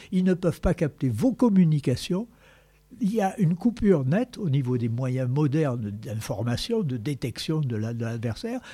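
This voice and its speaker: Explaining Frenchman with a mellow voice. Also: on the high side, no room sound. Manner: long explanatory turns, frequent "hein" and "voilà". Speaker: radio commentator. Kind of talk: explanatory radio talk.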